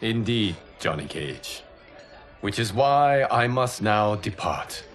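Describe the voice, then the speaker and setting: An actor playing a calm, noble guardian deity and mentor in a video game, grave and gracious, with formal, measured phrasing. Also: male voice, lowish voice, faint music behind, exaggerated voice. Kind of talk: cinematic game cutscene acting.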